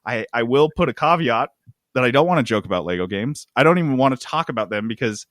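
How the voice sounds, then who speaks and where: A man with a mid-pitched, very animated voice hosts a gaming podcast. He riffs sarcastically in a loose, comedic chat, and the recording is close and dry. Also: fast, no room sound. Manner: riffing, sarcastic. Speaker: podcast host.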